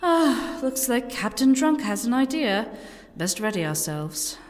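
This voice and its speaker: An actress playing a bitter, bloodthirsty drow elf adventurer in a fantasy audio drama, with a scornful, dramatic delivery. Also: female voice, on the high side, big pitch swings, a little slow. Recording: long reverb, music underneath.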